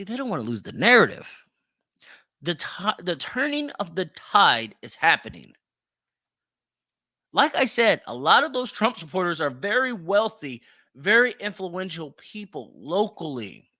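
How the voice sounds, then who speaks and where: A man's voice: on the high side, pushed and bright, no echo, studio quality. A man giving opinionated solo commentary as a podcast host, emphatic and repeating phrases for stress.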